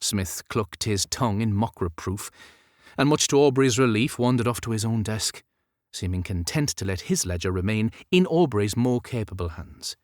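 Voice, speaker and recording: A man's voice: lowish voice, expressive, moderate pace, no room sound, clean studio sound. A man reading an audiobook as scripted literary narration.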